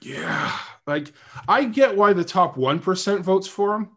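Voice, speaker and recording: A man's voice: high voice, lively intonation, measured pace, a bit bright. Chatty joking male podcast host. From New Hampshire. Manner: joking, chatty, thinks aloud. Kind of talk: casual political chat on a livestream.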